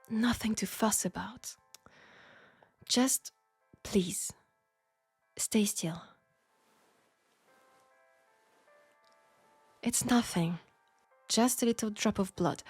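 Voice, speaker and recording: Slow-talking, sardonic French seamstress, played by a woman. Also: mid-range voice, darkish tone, husky, no room sound, heavily acted. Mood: dismissive, then softer. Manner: measured, pointed, sardonic, with sighs. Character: proud, wry young Parisian seamstress, bitter toward the rich. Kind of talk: scripted audio roleplay acting.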